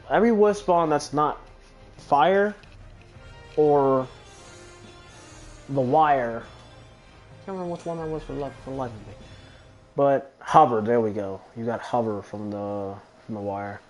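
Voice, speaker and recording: A man's voice: mid-range voice, measured pace, long pauses. A young man giving offhand, chatty, profane commentary on a video game playthrough, with game music behind him. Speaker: gaming video host.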